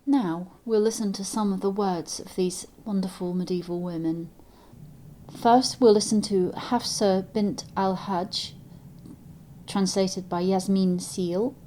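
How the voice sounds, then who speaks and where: A woman's voice: mid-range voice, fairly even intonation, takes it slowly, mellow, slight background noise. A woman hosting an interview podcast, speaking conversationally to guests and listeners.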